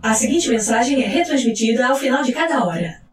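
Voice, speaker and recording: A woman's voice: a little high, animated, a little fast. A woman reading scripted documentary-style fiction narration in a formal, clinical manner. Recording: roomy echo, faint music behind. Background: faint music.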